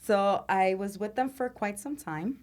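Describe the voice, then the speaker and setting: A woman's voice: medium pitch, a little slow, a little mellow. A woman, a guest talking candidly in a casual interview, laughing often and using many fillers. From Venezuela. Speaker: guest, nightlife promoter.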